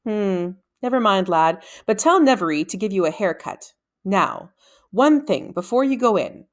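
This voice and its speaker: A woman's voice: mid-range voice, animated, moderate pace, lightly acted. Woman reading as a brusque grumbling lady wizard. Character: brusque grumbling female wizard, giving warnings.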